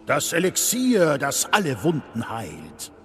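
An actor playing the solemn, grave leader of a knightly order in a game drama, speaking in ceremonial, measured oration. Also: male voice, fairly high pitch, wildly swooping pitch, faint music behind, exaggerated voice. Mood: grave, reverent.

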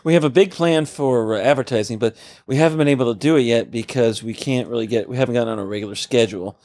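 A man co-hosting and editing a casual podcast, breaking in often and talking in quick bursts. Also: mid-range voice, darkish tone. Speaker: podcast co-host and editor.

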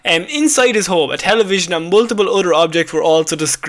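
High-voiced, giggly Irish man bantering. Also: lively intonation, quick pace, fairly bright tone. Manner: giggly, teasing, swears casually. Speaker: comedy podcast co-host. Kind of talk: casual banter over read-out odd news stories.

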